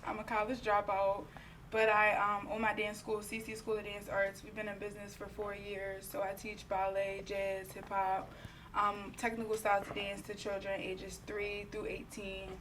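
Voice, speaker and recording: Woman, twenties, dance teacher, relaxed, a bit far from the mic, noisy background. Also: mid-range voice, normal speed. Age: 20s. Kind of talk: casual panel discussion.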